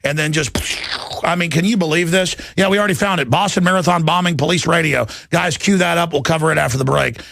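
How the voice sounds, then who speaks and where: Very gravelly, agitated male talk radio host. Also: fairly high pitch, fast talker, fairly bright tone, a little hiss or hum. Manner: agitated, rambling, emphatic, with repeated words. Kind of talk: impassioned unscripted talk radio rant. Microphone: close studio broadcast microphone.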